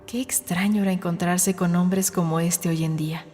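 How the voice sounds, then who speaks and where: A woman reading a novel as an audiobook narrator, in a measured, suspenseful storytelling manner. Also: on the low side, fairly even intonation, a little mellow. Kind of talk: scripted dramatic narration of a novel. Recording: studio narration over a music bed. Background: background music.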